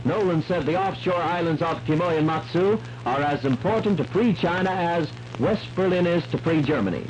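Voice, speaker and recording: A man's voice: a little high, moderate pace, a little mellow. An American man reading scripted news in a formal announcer delivery on 1950s radio. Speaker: radio newscaster. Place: radio studio. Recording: noisy 1950s AM radio recording.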